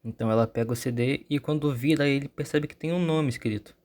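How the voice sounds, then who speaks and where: A man's voice: mid-range voice, fast talker, darkish tone. Brazilian man narrating a horror story. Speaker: YouTube channel host. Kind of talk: informal scripted storytelling narration.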